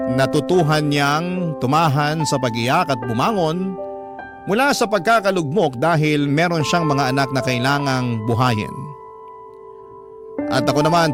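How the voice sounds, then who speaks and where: Male radio storyteller, slightly high voice, dramatic scripted first-person narration, music bed.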